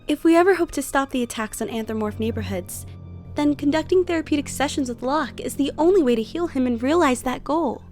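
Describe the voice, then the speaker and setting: An earnest, idealistic therapist in a cartoon, acted by a woman. Her voice is fairly high, with formal, carefully articulated phrasing. She sounds composed and firm, with faint music behind her. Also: expressive, fairly quick, a little mellow. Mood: earnest, concerned. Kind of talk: animated film voice acting.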